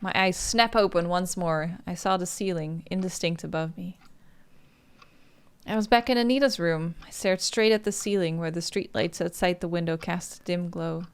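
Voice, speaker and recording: A woman's voice: lowish voice, normal speed, smooth. A woman hosting a game playthrough, reading story narration aloud with casual asides. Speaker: gaming host.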